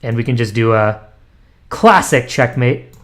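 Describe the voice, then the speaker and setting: A man's voice: mid-range voice, lively intonation, unhurried, a bit bright. A young man thinking aloud as he plays blitz chess online, muttering moves and self-deprecating asides with frequent ums and restarts. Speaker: chess streamer.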